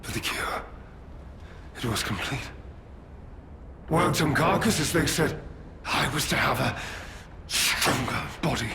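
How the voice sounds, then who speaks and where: An actor playing a craven, desperate schemer in a video game, pleading and justifying himself in a halting, theatrical way. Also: male voice, mid-range voice, gravelly, pushed and bright, slight background noise, heavily acted. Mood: desperate, unhinged. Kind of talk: dramatic video game cutscene acting.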